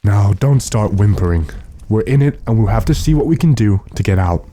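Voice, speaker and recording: A man playing a stern, determined gentleman in a radio drama, speaking in a commanding, impatient way. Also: lowish voice, expressive, normal speed. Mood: grim, resolute. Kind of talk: classic radio drama acting.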